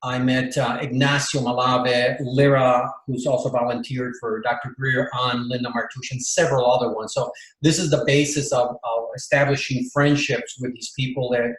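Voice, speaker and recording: Interview guest, Bolivian-born man, mid pitch, halting delivery with lots of restarts, echoey room. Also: flat delivery. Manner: rambling, many fillers and restarts. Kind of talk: casual storytelling interview.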